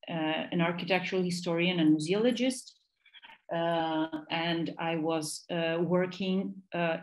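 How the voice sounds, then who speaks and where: Woman, Russian accent, native Russian speaker, somewhat low-pitched voice, academic lecturer, halting delivery, repeats words while searching. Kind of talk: academic presentation.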